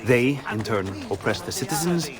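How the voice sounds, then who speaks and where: An actor playing a shrewd, grave conspirator with an Italian accent, speaking deliberately in a video game. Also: male voice, medium pitch, animated, close to an everyday voice. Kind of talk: video game cutscene acting. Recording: outdoor ambience noise behind.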